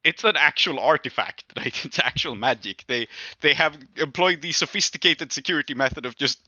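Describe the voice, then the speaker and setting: Male game master, casual rulings: piercingly bright tone, dry and slightly band-limited recording. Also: on the high side, a little fast, no room sound, dull audio. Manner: frequent short affirmations while listening.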